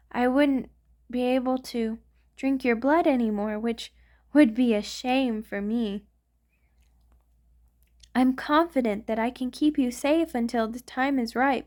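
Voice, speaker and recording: A woman playing an affectionate, refined vampire in an ASMR romantic roleplay, speaking tenderly and a little hesitantly, with gently formal wording. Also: a little high, natural voice. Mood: wistful, earnest. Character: affectionate, refined vampire queen in love with a human.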